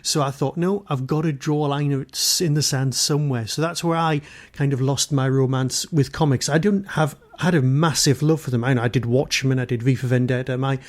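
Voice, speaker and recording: A man's voice: medium pitch, fairly even intonation, brisk, few pauses, darkish tone. A man hosting a podcast interview in a casual, chatty, rambling and self-deprecating way. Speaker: podcast host.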